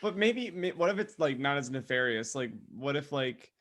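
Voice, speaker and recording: A man co-hosting a podcast, chatting casually and comedically, thinking aloud in a halting way. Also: mid-range voice, expressive, noisy background. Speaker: podcast co-host.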